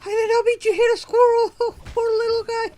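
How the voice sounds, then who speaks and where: A woman streamer giving casual, chatty gameplay commentary, excitable and quick to laugh. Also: very high-pitched. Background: faint game music.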